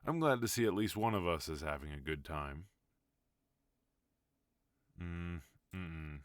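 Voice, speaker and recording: A man voicing a ruthless mafia boss who is also a husband, in an ASMR audio roleplay. His voice is extremely deep, and he drawls slowly with cold, measured menace. The sound is dry and close to the mic. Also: no room sound. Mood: weary, tender.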